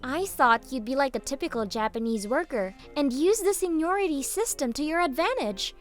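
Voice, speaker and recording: A cheeky young female office junior, played by a woman, sure of herself and acted in manga dub style. Her voice sits high. Faint music runs underneath. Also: expressive, moderate pace. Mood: smug. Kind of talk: English manga dub acting.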